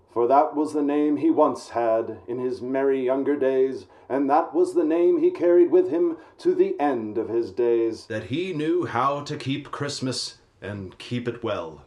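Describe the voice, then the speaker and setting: A man narrating a radio play like a storybook, with a dramatic, deliberate delivery. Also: medium pitch, mellow.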